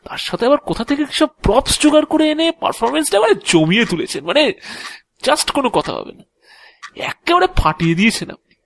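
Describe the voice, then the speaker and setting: Audio drama clerk, played by a man: excitable, talkative, sarcastically flattering, very animated intonation, dry close recording. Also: very high-pitched, fast talker, creaky, no room sound, heavily acted. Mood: excited, teasing. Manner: animated, gushing, stammering repetitions. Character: excitable, chatty male private-office clerk, sarcastically flattering. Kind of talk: Bengali audio drama dialogue acting.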